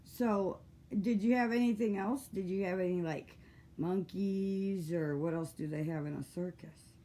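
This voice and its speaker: A woman's voice: fairly low pitch, unhurried, mellow. A woman hosting a casual livestream interview, chatting informally with folksy exclamations. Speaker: host of a livestream chat.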